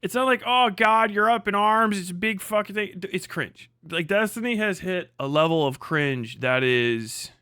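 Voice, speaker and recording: Male livestream commentator, slightly high voice, dry close sound. Casual, sweary, blunt and scornful. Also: normal speed, few pauses, sharp tone, smooth, no echo. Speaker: livestream host and political commentator.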